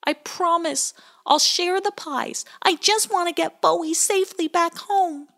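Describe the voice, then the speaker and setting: A woman's voice: very high-pitched, measured pace, creaky, fairly bright tone, voice pushed up, full character voice. A woman voicing a small, greedy storybook hero who is earnest and pleading.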